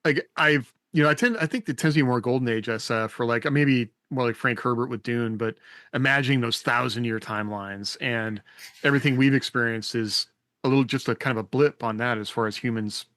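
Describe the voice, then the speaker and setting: A man with a middling pitch talks casually on a podcast. He hedges and works through his thoughts as he goes. The sound is dry, with no room echo. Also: a bit bright. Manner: tentative, hedging, thinking aloud. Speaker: podcast co-host. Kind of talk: casual discussion.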